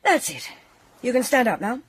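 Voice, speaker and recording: An actress playing a no-nonsense osteopath in a radio drama, curt and commanding. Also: female voice, fairly high pitch, sing-song, normal speed, slightly rough. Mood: brisk, commanding. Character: brisk, no-nonsense young female osteopath, bossy.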